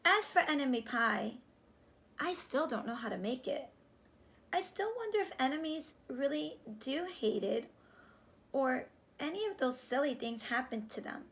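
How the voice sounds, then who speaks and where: High-pitched, unhurried woman reading aloud. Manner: animated, questioning, slow and deliberate. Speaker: teacher reading a picture book aloud. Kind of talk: children's read-aloud with questions to the listeners. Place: small room indoors, on a couch. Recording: clear background room noise.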